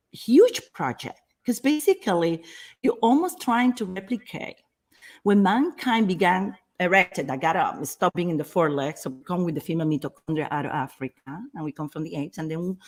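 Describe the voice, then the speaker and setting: Enthusiastic, slightly low-voiced woman interviewer. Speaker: interview host. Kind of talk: conversational interviewing.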